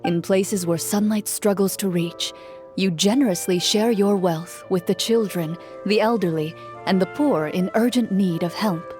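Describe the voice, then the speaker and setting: A woman's voice: mid-range voice, darkish tone. Woman narrating a fantasy quest. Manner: measured storytelling. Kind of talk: second-person game narration. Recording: with music behind.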